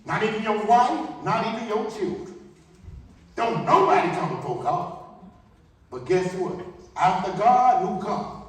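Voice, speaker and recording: A man's voice: high voice, expressive, measured pace, long pauses. A man preaching a fervent sermon, emphatic and repetitive, throwing out rhetorical questions. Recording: echoey hall, far from the mic.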